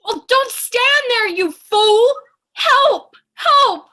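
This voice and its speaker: A woman playing an excitable, melodramatic young newspaper reporter in old-time radio style, with urgent, breathless exclamations. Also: extremely high pitch, very slow, heavily acted. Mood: frantic. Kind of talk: old-time radio comedy thriller acting.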